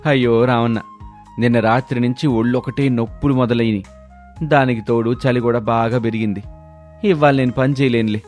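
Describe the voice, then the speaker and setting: A man's voice: medium pitch, fairly even intonation, normal speed, darkish tone, soft background music, lightly acted. A man playing a lazy village husband who complains and wheedles his way out of things in a storybook tale. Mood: whining. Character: lazy, whining village husband full of excuses. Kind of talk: storybook character voice.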